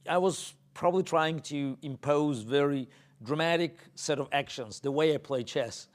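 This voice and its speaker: A middle-aged man from Azerbaijan, answering interview questions in a halting way, full of fillers and restarts. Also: on the high side. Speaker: interview guest.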